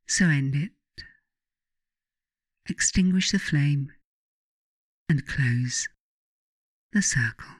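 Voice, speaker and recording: A meditation guide reads at a very unhurried pace in a soothing, instructing manner. The voice sits in a middle register and is recorded very dry, with no room around it. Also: medium pitch, no echo. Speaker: author narrating a guided meditation. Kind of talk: guided meditation, scripted narration.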